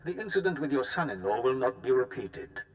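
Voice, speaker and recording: An actor playing a smug, menacing baron in a video game, formal and condescending, with civil politeness over threat. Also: male voice, medium pitch, very animated, a little fast, lightly acted. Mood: smug, threatening. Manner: smooth-talking, condescending, formal. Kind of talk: cinematic video game villain acting.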